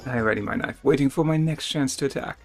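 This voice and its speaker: A man's voice: mid-range voice, fast talker. A man reading a text game aloud as theatrical narration, stumbling and rereading now and then, with casual asides. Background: music.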